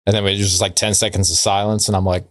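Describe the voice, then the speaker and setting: Laid-back man with a low voice. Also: level tone, fast, a bit bright. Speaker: comedy podcast co-host. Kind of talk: casual banter and joke telling.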